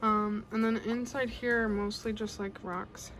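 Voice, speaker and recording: A woman's voice: mid-range voice, little pitch movement, measured pace, fairly bright tone. Young woman chatting casually over music. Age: young adult. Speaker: vlogger. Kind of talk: casual vlog chat. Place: carpeted bedroom at home.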